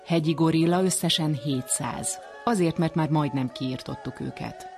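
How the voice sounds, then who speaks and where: Higher-voiced man presenting, music underneath. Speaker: radio science programme presenter. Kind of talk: scripted radio documentary narration.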